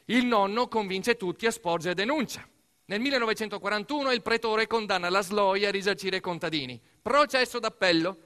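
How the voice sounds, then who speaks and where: A man performs a dramatic storytelling monologue for radio theatre, emphatic and full of deliberate pauses. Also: high voice, pushed and bright. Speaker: actor-narrator of a theatrical monologue.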